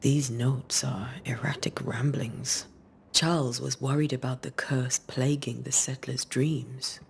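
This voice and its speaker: An actress playing a wistful, wryly teasing veteran ghost hunter in a video game, with a measured, reflective delivery. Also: female voice, a bass voice, level tone, creaky, a little hiss or hum, heavily acted. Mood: focused, reflective. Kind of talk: cinematic game dialogue.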